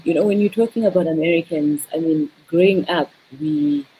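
South African woman, low voice. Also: slight background noise, rough recording. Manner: animated, many repeated words and "you know" fillers. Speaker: guest, tourism business owner. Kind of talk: casual video-call interview. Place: indoor room. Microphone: video call.

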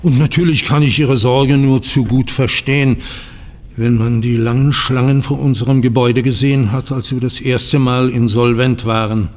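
A man's voice: mid-range voice, fairly even intonation, normal speed, a little mellow, lightly acted. A man playing a courteous, anxious banker in a German radio play, speaking in formal, flowery phrases. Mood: polite, grateful. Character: polite, anxious male banker in financial ruin. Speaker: actor in an audio drama. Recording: long room reverb.

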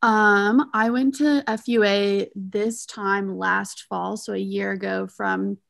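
Chatty young woman with vocal fry. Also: medium pitch, steady tone, takes it slowly, a bit bright, no room sound, clean studio sound. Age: 20s. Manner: chatty, with many ums and likes. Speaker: student panelist. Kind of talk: casual panel talk. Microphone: video call.

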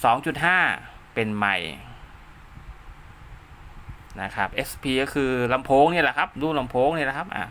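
Male DIY tutorial host: bright mid-pitched voice, informal and repetitive, throws in spoken sound effects, slightly noisy recording. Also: animated, fast. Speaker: host of a DIY radio repair channel. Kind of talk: informal hands-on tutorial, talking while drawing diagrams.

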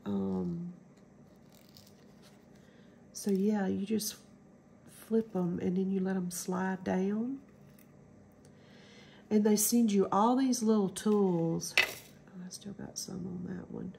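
A woman's voice: medium pitch, fairly even intonation, slow, gravelly, pauses often, a little mellow, a little hiss or hum. A middle-aged woman with a stuffy cold, chatting casually through a craft demo at home and rambling with lots of ums. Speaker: crafting channel host.

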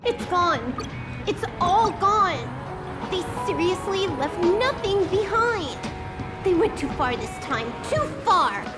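A woman's voice: extremely high pitch, very animated, slow, loud music behind the voice, exaggerated voice. A woman voicing a petulant, cocky young hacker in a video game, talking in a casual, flippant way. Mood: sulky, upset. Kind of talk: scripted game dialogue.

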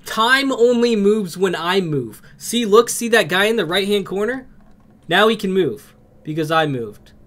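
A young man with a cold, streaming a game from home on a headset and greeting his chat by name with excited outbursts. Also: sits high, unhurried. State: has a cold.

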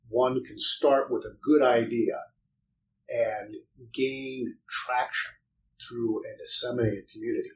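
A man from Iowa, a company co-founder, speaking in measured phrases during an interview. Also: mid-range voice. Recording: distant, muffled, faint room noise.

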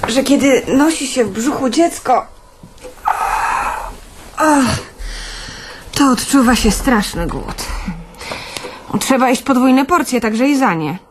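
A self-pitying young wife in a radio drama. Her voice is high and she speaks very slowly, with plaintive sighs. There is faint noise behind her. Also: animated. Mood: plaintive, confessing. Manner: sighing, plaintive. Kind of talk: radio play acting.

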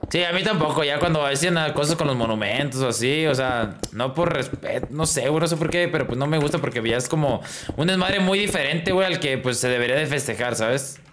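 Young mexican guy on a game stream, slangy chat, kinda high voice, noisy background w clicks. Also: a little fast, a bit bright. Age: 20s. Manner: slangy, informal. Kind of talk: casual livestream chat. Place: indoors in a small room at a desk.

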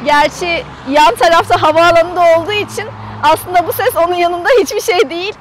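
Female travel vlogger, high voice, chatty and explanatory, casual walk-around tour delivered outdoors. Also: a little fast, noisy background. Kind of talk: casual guided tour to camera. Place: outdoors in an open camper park lot.

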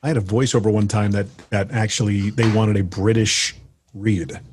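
A man's voice: on the low side, little pitch movement, moderate pace, darkish tone, husky, no room sound. A man who works in voiceover, telling a wry, self-deprecating story in a casual podcast chat. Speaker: voiceover artist, co-host.

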